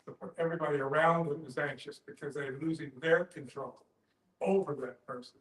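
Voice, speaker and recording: A man with a somewhat high voice talks in a very echoey room. He shares reflections and personal stories in a group discussion, feeling his way through sentences and often starting them over. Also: fairly even intonation, fairly quick, a bit bright.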